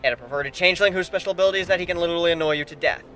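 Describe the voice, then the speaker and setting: A man's voice: high-pitched, very fast, natural voice. A man voicing a sarcastic, cocky male griffin warrior in a dramatic story reading, mocking and emphatic. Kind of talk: dramatic fan fiction reading. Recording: background music under the voice.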